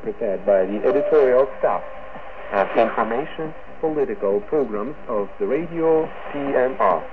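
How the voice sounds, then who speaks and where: A man formally reads a scripted news bulletin as a radio presenter, breaking his sentences into halting phrases. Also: medium pitch. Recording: noisy, narrow-band shortwave radio broadcast.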